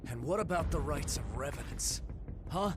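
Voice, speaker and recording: An actor playing a belligerent, bitter young thug in a dramatic video game cutscene, sneering and confrontational. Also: male voice, fairly high pitch, lively intonation, moderate pace, noisy background, lightly acted. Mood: bitter indignant.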